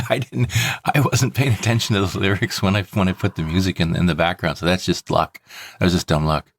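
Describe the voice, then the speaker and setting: A man's voice: sits low, fairly even intonation, a little fast, creaky, no room sound. A man hosting a podcast, talking conversationally and explaining things plainly, step by step. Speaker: podcast host and story producer.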